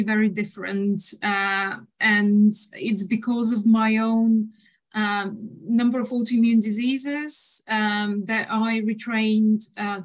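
A woman in her thirties from Bulgaria, a nutritional therapist answering interview questions in a measured way with frequent fillers. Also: medium pitch.

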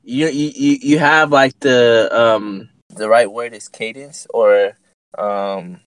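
A man chatting on a podcast, halting and restarting often as he searches for the right word. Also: medium pitch, steady tone, clear, resonant tone. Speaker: podcast co-host. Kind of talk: casual chat.

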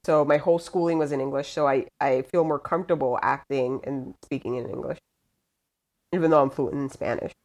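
A woman's voice: very deep voice, fairly even intonation, fairly quick, a little mellow. A young woman from Puerto Rico, a podcast guest, chatting casually and hesitantly with many fillers. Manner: rambling, hesitant, full of "like" and "um". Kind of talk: casual chat over a call.

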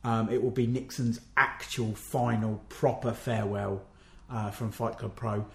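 A man's voice: fairly low pitch, level tone, unhurried, gravelly, a bit bright. A man co-hosting a casual chat, who recaps the night's matches and keeps backing his partner with short yeahs. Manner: affirming, lots of "yeah", recapping events. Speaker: podcast co-host.

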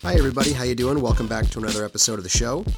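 A man in his forties, hoarse from a cold, hosting a podcast in relaxed, rambling talk full of fillers. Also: mid-range voice, rapid-fire, over loud music. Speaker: podcast host.

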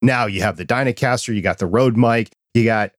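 A man with a quiet voice hosting a podcast, asking curious, friendly questions and chatting about microphones into a dynamic mic. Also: mid-range voice, fairly quick, no echo, clean studio sound. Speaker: podcast host. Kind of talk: interview questions and chat.

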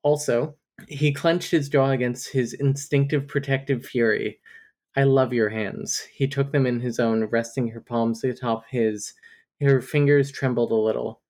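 A woman's voice: rumbling low, steady tone, moderate pace, a little mellow. A woman co-hosting a casual book podcast, recapping chapters and reading passages aloud, laughing often. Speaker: podcast co-host.

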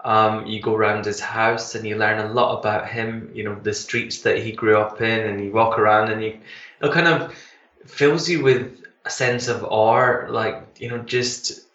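Bright-voiced Scottish man, roomy dull line. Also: lowish voice, level tone, normal speed. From Glasgow, Scotland. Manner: enthusiastic, many fillers and restarts. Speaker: tour manager guest. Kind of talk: casual radio interview.

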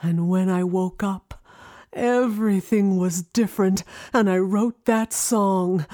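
A woman playing a grieving grown daughter, narrating an intimate audio drama about memories of home with tender, dramatic pauses. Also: lowish voice, dark tone. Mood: tender, hushed. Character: grieving grown daughter recalling her childhood home with wonder. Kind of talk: intimate first-person audio drama monologue.

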